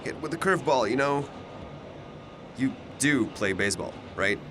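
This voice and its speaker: A man's voice: mid-range voice, animated, normal speed, background noise, natural voice. A man playing a cocky, chatty young double who knows it all, with a glib, teasing way of talking in an audio drama. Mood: chatty, wry. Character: cocky, chatty, all-knowing alternate-universe male double of a student.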